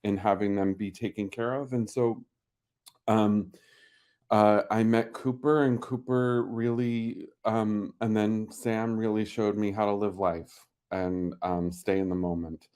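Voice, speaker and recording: A man from Pennsylvania, a guest on a video call, talking haltingly and emotionally about his late cat with many pauses and restarts. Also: fairly low pitch, fairly even intonation, darkish tone. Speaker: pet owner guest. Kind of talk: emotional interview talk.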